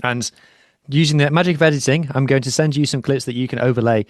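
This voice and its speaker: A man's voice: medium pitch, quick pace, no echo. A man co-hosting a rugby podcast from his home studio, chatting and explaining in a self-deprecating way. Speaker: podcast co-host. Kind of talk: casual chat and rugby analysis.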